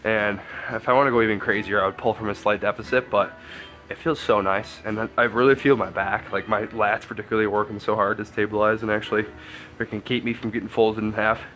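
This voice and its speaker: Stuffy-nosed male coach, low voice with a sharp bright edge, loose and chatty. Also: steady tone, fast talker, soft background music. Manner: chatty, loose, thinks out loud with fillers. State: congested, stuffy nose. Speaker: strength coach and lifter. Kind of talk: casual training vlog.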